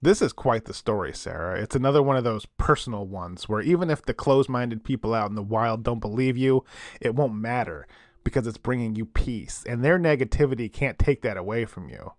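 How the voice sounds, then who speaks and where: A man plays a late-night host of a spooky eighties radio show. He talks casually and sympathetically, in a folksy, off-the-cuff way. His voice sits at an average pitch in a very dry studio. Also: expressive, brisk, no echo, lightly acted. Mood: appreciative.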